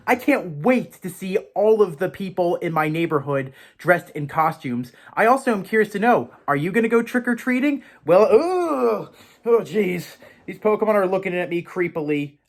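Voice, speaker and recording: Male show host, higher-pitched voice, theatrical and chatty, playing a professor who addresses viewers directly. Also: expressive, moderate pace. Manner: theatrical, chatty, poses questions to the audience. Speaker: host of a Pokemon education show. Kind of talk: presenter monologue to viewers, playfully in character as a professor.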